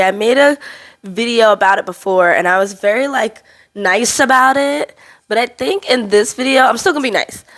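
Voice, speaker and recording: A woman in her twenties with a slightly stuffy voice from a cold, telling a personal story to camera in a candid, animated way. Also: mid-range voice. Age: 20s. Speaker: vlogger.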